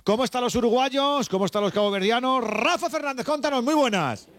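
A man with an accent from Spain hosting a live sports radio phone-in with animated, playful banter. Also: very high voice, brisk, a little hiss or hum. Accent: Spanish, from Spain. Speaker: radio host.

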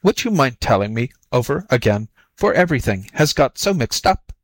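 A man voicing a sly storybook jackal who acts flustered and slow-witted, fretful and full of exclamations. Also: mid-range voice, big pitch swings, no echo. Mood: puzzled, curious. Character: sly jackal feigning muddled, whimpering stupidity. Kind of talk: storybook character voice.

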